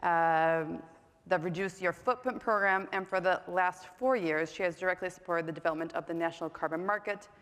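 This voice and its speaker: A woman's voice: lowish voice, brisk, fairly bright tone. Woman, panel moderator, chatty, filler ums, echoey conference hall. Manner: chatty, self-deprecating, many ums. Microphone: mic on stage.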